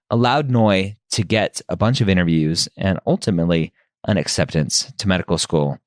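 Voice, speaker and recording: Male host, upbeat and jokey, lowish voice, dry close sound. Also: no room sound. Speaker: podcast host. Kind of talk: podcast interview.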